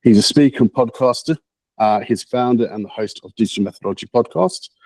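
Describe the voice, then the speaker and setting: A man hosting a meetup, introducing the guest speaker in a halting way with many repeats and restarts. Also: on the low side, darkish tone, breathy edge, no room sound, low-quality recording. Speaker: meetup host.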